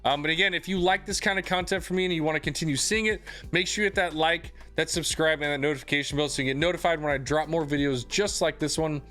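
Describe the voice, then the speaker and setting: Fast-talking, slightly hoarse young man. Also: fairly high pitch, pushed and bright, soft background music. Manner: upbeat, chatty, many "um" and "like" fillers. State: slightly hoarse, losing his voice. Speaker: gaming YouTuber. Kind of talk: casual video game guide talk.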